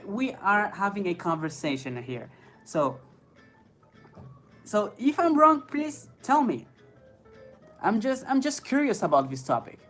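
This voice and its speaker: A man's voice: high voice, fairly bright tone. A man with a French accent, a native French speaker, hosting a live stream where he reads chat questions aloud, hesitating with fillers and laughing often. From France. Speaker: inline skating streamer. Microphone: phone. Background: faint background music.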